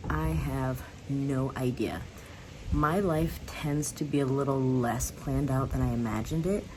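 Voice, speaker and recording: Low-voiced young woman vlogging candidly. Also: fairly even intonation, a little slow, noisy background. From United States. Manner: candid, rambling, laughs often. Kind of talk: casual talk to camera.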